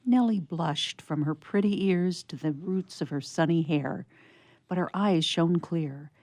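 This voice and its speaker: A woman narrator reading an old-fashioned story in a measured, expressive storybook style. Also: fairly low pitch, no room sound.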